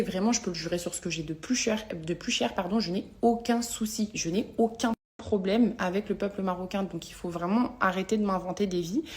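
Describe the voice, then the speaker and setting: Young adult female vlogger, mid-pitched voice, slangy and emphatic, confiding in followers. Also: darkish tone. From Algerian family. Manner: slangy, emphatic, repeats herself for stress. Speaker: social media vlogger. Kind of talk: casual confessional story to followers.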